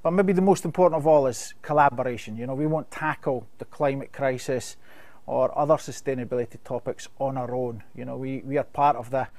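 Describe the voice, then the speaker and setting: A middle-aged Scottish man answering webinar questions on a video call, measured and full of fillers and restarts. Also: medium pitch, a little mellow, dry recording. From Scotland. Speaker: company sustainability expert.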